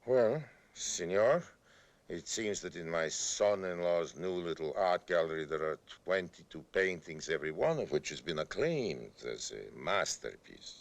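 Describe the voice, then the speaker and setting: A man voicing a proud, dying old male art forger, speaking slowly and theatrically in hushed tones. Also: low voice, animated, dry recording. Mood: wry.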